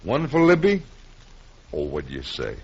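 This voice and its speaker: An actor playing a boozy, careless railroad surveyor in a 1950s radio western. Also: male voice, low voice, wildly swooping pitch, moderate pace, husky, heavily acted. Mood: tipsy, jovial. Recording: dull, faintly noisy 1950s radio recording.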